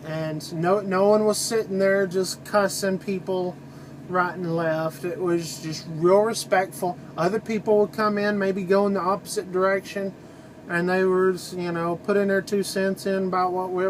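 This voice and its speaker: A high-voiced man talks in a loose, folksy vlog style. He is informal and opinionated, rambling along conversationally. A faint steady hum runs behind his voice. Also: rough recording. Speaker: trucker video blogger. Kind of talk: casual rambling vlog monologue.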